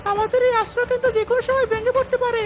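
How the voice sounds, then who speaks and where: A man's voice: extremely high pitch, little pitch movement, racing. A man voicing a panicked villager in a cartoon moral story, frantic and alarmed. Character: panicked male villager bringing disaster news. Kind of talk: animated moral story voice acting.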